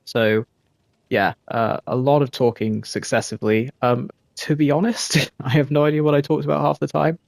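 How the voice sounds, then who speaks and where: A man giving relaxed post-game commentary over his gameplay, laid-back and self-critical, with frequent ums and uhs. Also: medium pitch, normal speed, vocal fry, dry recording, studio quality. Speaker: gaming video creator.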